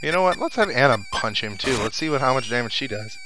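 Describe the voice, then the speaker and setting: A man's voice: mid-range voice, sing-song, fast talker, rough recording. A man giving casual let's play commentary, thinking aloud to his viewers as he plays. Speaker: gaming video host. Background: video game music and sound effects.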